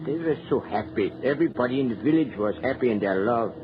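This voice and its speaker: A gossipy village man, played by an actor, spins a grim local tale with relish. His pitch rises and falls theatrically as he draws out suspense. It sounds like a noisy old radio broadcast. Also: expressive, quick pace, lo-fi sound, lightly acted. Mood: wistful storytelling. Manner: theatrical storyteller, drawing out suspense. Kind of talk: 1930s old-time radio acting.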